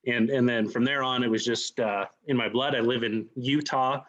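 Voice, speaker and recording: Through a dull, lo-fi call connection, a man in his forties speaks at a medium pitch. He tells his personal story as a guest, casually and a little haltingly, with a self-deprecating touch. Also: steady tone, a bit bright. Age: 40s. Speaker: company president, guest speaker.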